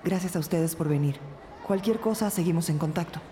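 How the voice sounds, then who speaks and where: A woman's voice: on the low side, a little fast, warm tone, some chatter behind, slight background noise, lightly acted. A woman playing a gracious, eager school principal in an audio drama. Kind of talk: Spanish-language audio drama acting. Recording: reverberant hall with clear music.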